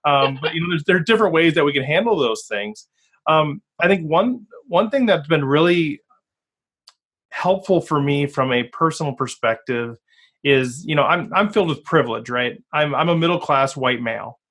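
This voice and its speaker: A man's voice: on the high side, expressive, moderate pace, fairly bright tone. A man hosting a podcast from Minnesota, speaking earnestly and candidly in a loose conversational way. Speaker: podcast host.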